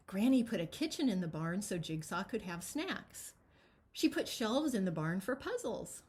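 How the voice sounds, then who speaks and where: A woman reading a picture book aloud as its author, with expressive, dramatic storytelling. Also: a little high. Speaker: children's author reading their own book.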